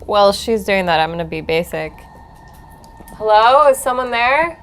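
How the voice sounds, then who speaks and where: A woman's voice: medium pitch, lively intonation, normal speed. A woman playing a tabletop role-playing game in casual in-character chat, with a blunt, sardonic way of talking. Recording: faint noise and room ambience.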